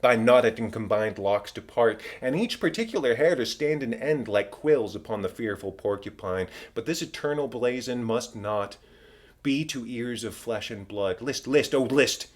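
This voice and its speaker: A man voicing the ghost of a murdered king, a solemn, tormented, vengeful father declaiming in drawn-out phrases in a Shakespeare audiobook. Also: mid-range voice, expressive, close to an everyday voice. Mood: solemn, ominous. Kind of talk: dramatic Shakespeare audiobook reading.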